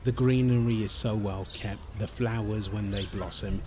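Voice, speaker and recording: A man's voice: fairly low pitch, steady tone, normal speed, noisy background, lightly acted. A man playing an earnest centuries-old vampire in love, polite and insistent, in an ASMR-style audio roleplay. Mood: friendly, charming. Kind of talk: ASMR audio roleplay, one-sided interview.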